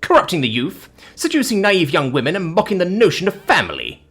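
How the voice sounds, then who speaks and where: An indignant, snobbish noblewoman from an audiobook, acted by a man, scolds in pompous, ornate phrases. The voice is pitched up and swoops dramatically, with a slightly husky grain. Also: huge pitch swings, a little fast, a bit bright, faint music behind, exaggerated voice. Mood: indignant, haughty. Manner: pompous, admonishing, ornate speech.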